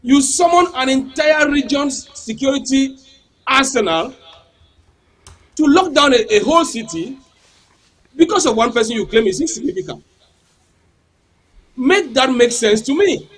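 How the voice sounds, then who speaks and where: A man's voice: extremely high pitch, lively intonation, a little slow, long pauses, fairly bright tone. A man, not a native English speaker, arguing heatedly as a panel guest in a political debate, firing off rhetorical questions and repeating words.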